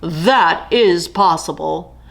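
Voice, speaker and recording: A drowsy storybook lion king drawls his words slowly and grandly, as a woman reading aloud puts him on. His voice sounds lazy, amused and lordly. The delivery is unhurried and a little louder than the narration. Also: on the low side, creaky, exaggerated voice.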